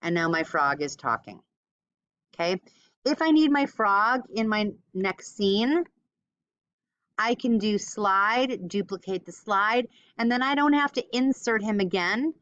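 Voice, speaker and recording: Woman, smooth voice, mid pitch, informal tutorial teacher, thinks aloud, dull computer-mic sound. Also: animated, measured pace, a bit bright, no room sound. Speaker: teacher giving a software tutorial. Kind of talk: casual screen-recorded step-by-step tutorial.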